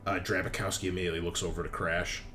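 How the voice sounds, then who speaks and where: A man's voice: low voice, little pitch movement, quick pace, bright, forceful tone, soft background music. A man working as game master, narrating a tabletop roleplaying game in a casual, improvised way. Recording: clearly noisy background.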